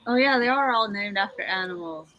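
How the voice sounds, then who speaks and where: Woman, 30s, curious giggling guest artist; bright, crisp tone. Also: mid-range voice, expressive, brisk, highs cut off. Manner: curious, asks questions, giggles. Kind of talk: casual chat while drawing.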